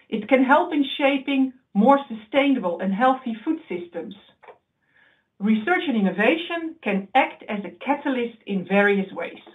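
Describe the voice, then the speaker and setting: Woman presenting over tinny call audio. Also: on the high side. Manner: measured, explanatory, with occasional slips and restarts. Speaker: research presenter. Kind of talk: webinar slide presentation.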